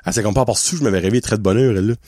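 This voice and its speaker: A man in his thirties with a Québécois French accent, hosting a podcast and telling a story in a casual, animated way, laughing often and slipping English words into his talk. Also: lowish voice, racing, no room sound, clean studio sound. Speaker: podcast host.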